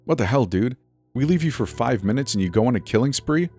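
A man's voice: medium pitch, animated, a little fast, a little mellow, husky, soft background music, voice pushed up. A man voicing a brash, teasing teenage boy with a cocky, casual manner. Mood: mocking.

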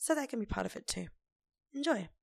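A woman hosting a casual solo podcast in an informal, conversational way, with a croaky, hoarse voice. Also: fairly high pitch, animated, fairly quick, no room sound. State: lingering hoarseness after laryngitis. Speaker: podcast host.

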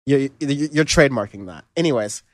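A man hosting a music podcast in casual chat, relaxed and rambling with lots of fillers and restarts. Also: mid-range voice, brisk, no room sound. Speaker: podcast host.

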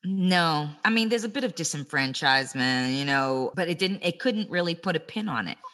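Low-voiced, measured woman. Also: expressive, fairly bright tone. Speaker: podcast co-host. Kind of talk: casual political chat.

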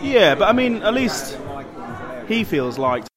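A tipsy British man chats casually as a podcast co-host. His voice sits a little high, and music and bar chatter are clearly heard around him. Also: very animated, measured pace. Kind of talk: casual chat. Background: music and crowd.

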